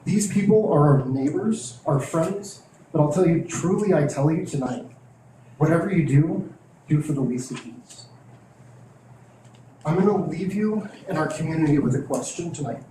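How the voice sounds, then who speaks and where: A man's voice: a little high, expressive, warm tone. A middle-aged man, a teachers' union leader, earnestly reading a prepared statement at a school board meeting. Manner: earnest, measured, heartfelt. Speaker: teachers' union president and public commenter. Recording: echoey meeting room, faint hiss.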